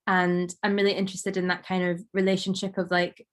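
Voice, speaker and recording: Woman, artist, casual rambling talk, slightly croaky, low and monotone. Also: a little fast. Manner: rambling, many fillers. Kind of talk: casual conversation.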